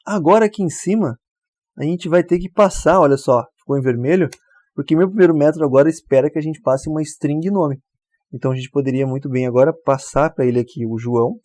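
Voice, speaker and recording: A man's voice: on the high side, brisk, no echo. A man walks through a coding tutorial step by step. He keeps checking in with the viewer as he goes. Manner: relaxed, explains step by step, often checks with "tá?". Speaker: programming tutor. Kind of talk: screen-recorded coding tutorial.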